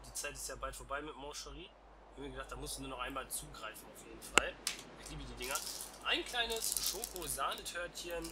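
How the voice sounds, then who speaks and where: A man's voice: mid-range voice, brisk. A young man with a stuffy cold, chatting casually as a let's play host on a headset. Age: young adult. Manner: chatty and relaxed. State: congested with a cold. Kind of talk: casual game commentary. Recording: noisy home headset recording.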